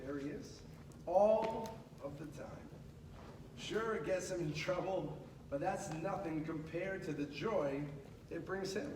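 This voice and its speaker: Male storybook reader, high voice, expressive delivery with chatty asides, distant and echoey school-room recording. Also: takes it slowly. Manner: expressive, with casual asides to listeners. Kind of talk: animated children's picture book read-aloud. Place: indoors at a school. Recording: echoey room, distant mic, noisy background.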